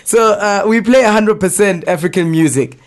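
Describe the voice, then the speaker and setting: Man, radio host, easygoing, affirming, slightly raised pitch. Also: normal speed. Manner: laid-back, affirming, peppers speech with bro and man. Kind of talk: radio interview conversation. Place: radio studio.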